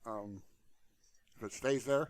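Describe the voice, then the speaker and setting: A man's voice: medium pitch, slow, no room sound. A man hosting a tutorial channel, talking casually and off the cuff in a blunt, rambling, colloquial way into a close mic. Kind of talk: casual unscripted screen-share talk.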